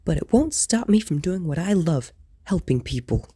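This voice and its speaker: A woman's voice: fairly low pitch, big pitch swings, moderate pace, dark tone, breathy edge. A woman voicing a small, eager male robot medic in an audio drama, prone to glitchy verbal stumbles. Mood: eager, earnest. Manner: stumbles into wrong rhyming words.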